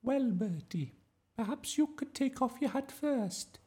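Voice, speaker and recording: A gushing young woman drama teacher from a children's storybook, acted out by a man. The voice sits very high and swoops about in a theatrical sing-song. Every phrase is delivered with exaggerated politeness. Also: lively intonation, a little slow, a little mellow. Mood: bright, commanding. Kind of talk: children's audiobook character voice.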